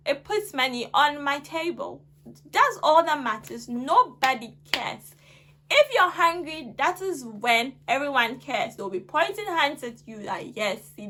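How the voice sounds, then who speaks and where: A young Ghanaian woman giving earnest, emphatic advice to camera in a vlog. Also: high voice. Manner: earnest, animated, rising to emphatic exhortation. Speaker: vlogger.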